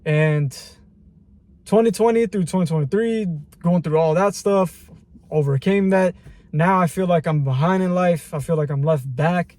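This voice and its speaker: Man, twenties, casual vlog talk, reflective and rambling, slangy, slightly high voice, dry close sound. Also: normal speed, a little mellow, dry recording. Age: 20s. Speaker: vlogger. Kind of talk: casual personal vlog monologue.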